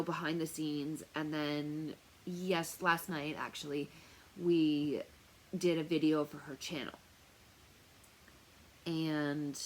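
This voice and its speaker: A woman talking casually to camera as a tarot reader, chatty and rambling with lots of fillers, with a loud, projecting voice. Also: low-pitched, slight background noise, poor audio. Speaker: tarot reader and remote viewer. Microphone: noise-canceling earphones on.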